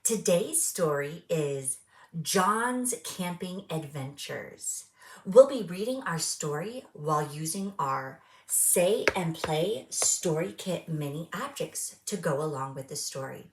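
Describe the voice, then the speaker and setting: A woman reads a picture book aloud for young children. Her voice sits a little low and moves at a very slow, careful pace, with expressive storytelling delivery. The room adds a clear echo around her words. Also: slight background noise. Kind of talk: children's read-aloud storytelling and friendly presenting to young viewers.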